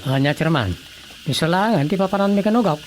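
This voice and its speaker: A woman's voice: on the low side, expressive, quick pace, darkish tone, slight background noise, close to an everyday voice. A woman playing a plain-spoken village councilor in a comic radio drama, with an Ilocano accent. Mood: businesslike.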